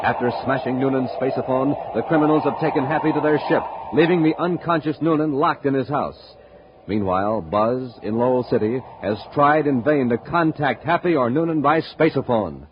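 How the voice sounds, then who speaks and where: An American man announcing in scripted 1950s radio style, with a showman's pitch aimed at young listeners. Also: moderate pace. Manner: showman's hard-sell delivery aimed at kids. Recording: dull, noisy 1950s radio recording.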